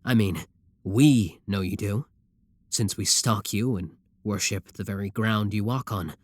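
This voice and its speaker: A man's voice: lowish voice, measured pace, no echo, clean studio sound. A man playing an adoring, obsessive yandere sibling in an ASMR roleplay, reassuring and matter-of-fact about his menacing devotion. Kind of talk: scripted ASMR roleplay addressed to the listener.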